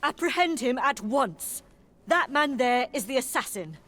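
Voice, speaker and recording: An actress playing a stern, dutiful royal knight in a fantasy video game, formal and authoritative. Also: female voice, high-pitched, lively intonation, a little slow, fairly bright tone, exaggerated voice. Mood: urgent, commanding. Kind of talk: video game character dialogue.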